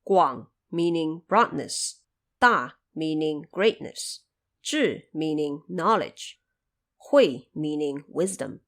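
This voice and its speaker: A woman voicing a stern, wise old male patriarch in an audio drama, commanding and deliberate as he lectures. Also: deep voice, animated, full character voice. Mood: solemn, instructive.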